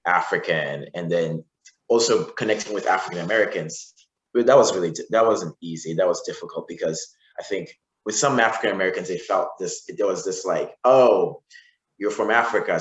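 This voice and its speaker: Deep-voiced Zimbabwe-raised man, forties, casual rambling guest in an echoey room. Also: muffled recording. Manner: rambling with frequent restarts and fillers. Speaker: podcast guest. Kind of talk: casual interview conversation. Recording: roomy echo.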